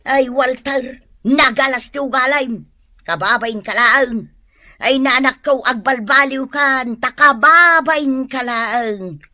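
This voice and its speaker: A woman's voice: high voice, slow, pushed and bright, heavily acted. A woman with an Ilocano accent playing an exasperated mother who scolds and lectures in a radio drama. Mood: exasperated scolding. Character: exasperated, nagging mother of a spendthrift son.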